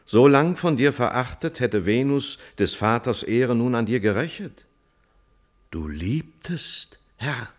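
An actor playing a prince's loyal older mentor, gently probing in measured, declamatory verse in a classical radio tragedy. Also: male voice, mid-range voice, expressive. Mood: probing, earnest.

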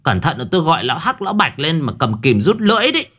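A man's voice: a little high, very animated, quick pace, sharp tone, breathy edge, voice pushed up, exaggerated voice. A man putting on a stammering peevish old boss. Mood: grumpy. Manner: stammering, indignant whine. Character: flustered stammering older male boss, peevish.

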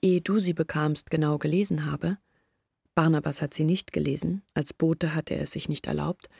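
An actress playing an anxious young village woman who earnestly pleads her family's case in a radio play, intimate and deliberate. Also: fairly low pitch, creaky, a little mellow, slightly rough, no echo, clean studio sound, heavily acted. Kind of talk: literary radio play monologue.

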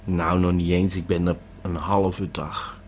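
A man's voice: deep voice, level tone, unhurried, a little hiss or hum. A man playing a panicky, bewildered holidaymaker tripping on a pill in an audio drama monologue, hesitant and stammering. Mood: sheepish regret. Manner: stammering, hesitant, repeating words.

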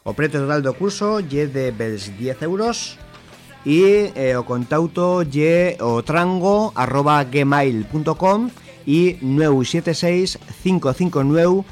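A man's voice: fairly high pitch, expressive, slow pace, a little mellow. A man presenting on the radio, reading scripted announcements. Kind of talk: scripted announcements read aloud. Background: faint music.

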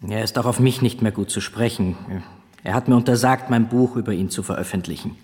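An actor playing a worried, earnest academic colleague in a radio drama. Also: male voice, on the low side, brisk, natural voice. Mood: concerned. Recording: long reverberant hall with music. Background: loud music.

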